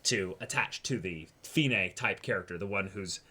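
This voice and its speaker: A man's voice: deep voice, moderate pace, pushed and bright, husky. A man co-hosting a casual, emphatic discussion of a show, talking animatedly and swearing freely. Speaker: co-host of an anime reaction discussion show.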